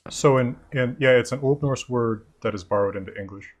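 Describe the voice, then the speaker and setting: A man hosting a casual video call, patiently explaining grammar and reading old sentences aloud slowly. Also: medium pitch. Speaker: host.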